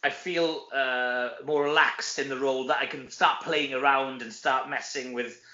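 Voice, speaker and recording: A Welsh man, an actor being interviewed, chatting casually in an animated, self-deprecating, joking way. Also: medium pitch, moderate pace. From Wales. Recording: dull, echoey room sound.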